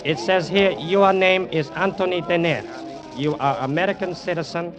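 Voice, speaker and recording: Man playing a high-voiced, officious lieutenant. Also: moderate pace, highs cut off, close to an everyday voice. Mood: coldly formal. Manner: clipped, formal, insistent broken English. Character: stiff, officious Chinese army lieutenant checking papers. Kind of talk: old-time radio drama acting.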